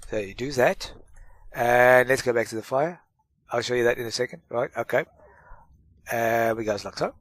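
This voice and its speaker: A man's voice: medium pitch, moderate pace, pauses often, fairly bright tone, no echo. Stuffy-nosed chatty man, dry close sound. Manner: chatty, self-mocking, rambling asides. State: stuffy from a cold. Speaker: gaming channel host. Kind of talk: casual gameplay tutorial.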